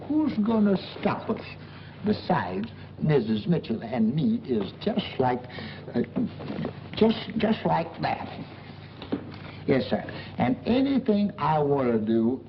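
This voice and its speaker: An actor playing a boastful, wheedling small-time schemer in an old film comedy, with folksy, ungrammatical talk. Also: male voice, a little high, big pitch swings, takes it slowly, creaky, full character voice. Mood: boastful, cocky. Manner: folksy, ungrammatical, blustering bragging. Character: boastful, wheedling boarding-house man, middle-aged, small-time schemer. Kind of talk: 1940s film comedy acting. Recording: muffled, noisy old film soundtrack.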